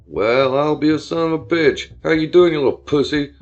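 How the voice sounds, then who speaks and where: A man's voice: on the high side, moderate pace, lightly acted. A man voicing a crude, aggressive young frat-bro bully who taunts and swears. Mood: taunting. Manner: loud, swaggering, foul-mouthed taunting. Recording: dull lo-fi with faint music.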